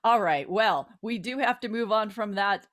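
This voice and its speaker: A woman's voice: medium pitch, normal speed, fairly bright tone. A woman hosting a talk show in casual, playful chat.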